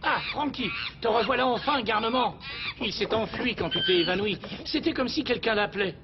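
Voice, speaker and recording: A very high cartoon sidekick voice, excitable and affectionately scolding. The audio is dull, with clear noise behind. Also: male voice, brisk. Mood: fond scolding. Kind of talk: French cartoon dub acting.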